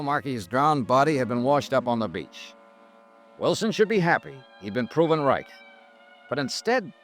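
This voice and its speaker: Male actor as shrewd insurance sleuth, American accent, very lively pitch, dry wit, vintage radio drama, faint music behind. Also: lightly acted. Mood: brisk, narrating. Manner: hard-boiled, dryly wry. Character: shrewd, wry freelance male insurance investigator, narrating his case. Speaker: insurance investigator narrator. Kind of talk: 1950s radio detective drama acting.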